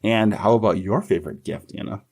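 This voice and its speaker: Low-voiced man, podcast co-host. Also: brisk, darkish tone. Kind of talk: friendly podcast chat and poem reading.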